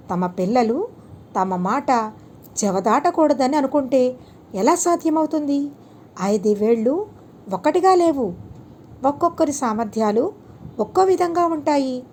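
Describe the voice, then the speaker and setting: Storybook sage, played by a woman: serene holy teacher, gently admonishing, deliberate, higher-pitched soft tone, faint noise. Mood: solemn, advising. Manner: calm, deliberate, preaching with rhetorical questions.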